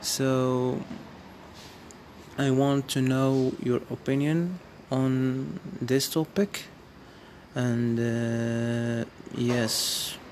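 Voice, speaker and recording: Very slow, hesitant man. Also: mid-range voice, fairly even intonation, a little mellow, slight background noise. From Morocco. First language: Amazigh. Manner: hesitant, searching for words, many restarts. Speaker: vlogger. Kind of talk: casual spoken monologue to viewers.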